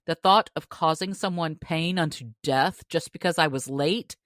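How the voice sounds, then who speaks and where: A woman with a low voice talks casually as a podcast co-host. The recording is dry, with hardly any room sound around her. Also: normal speed, a bit bright, no room sound. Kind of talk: casual book discussion.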